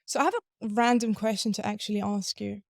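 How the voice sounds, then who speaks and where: A young woman hosting an interview podcast, curious and lively, asking follow-up questions. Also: medium pitch, brisk, creaky, no room sound, studio quality. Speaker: podcast host. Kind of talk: interview podcast, scripted intro then chat.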